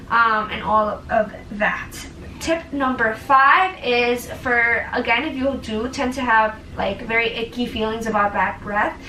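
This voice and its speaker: A bright-voiced young woman chatting straight to camera in an earnest, filler-heavy way. She sits a little back from the mic, so her voice rings around a bare, echoey room with a faint hiss of ambience under it. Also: a little high, distant mic. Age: young adult. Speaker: vlogger. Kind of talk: casual advice vlog.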